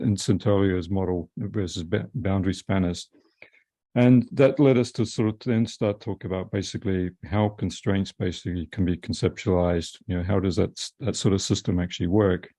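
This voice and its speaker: A man's voice: low-pitched, warm tone, no echo. A man speaking as a panelist on a video call, thinking aloud in a rambling, hesitant way full of fillers. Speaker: panelist, systems architect.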